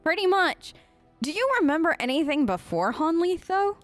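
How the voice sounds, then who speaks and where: A woman hosting a let's play, improvising in-character dialogue in a chatty, expressive, reactive way. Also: very high voice, moderate pace, soft background music. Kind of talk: improvised in-character role-play over a game.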